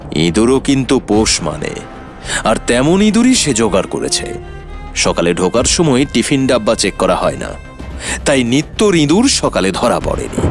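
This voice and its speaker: An actor playing a shrewd, confident detective in a cartoon mystery, explaining things in a sly, theatrical way. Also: male voice, medium pitch. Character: shrewd, confident male detective revealing the culprit. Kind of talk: animated detective story acting.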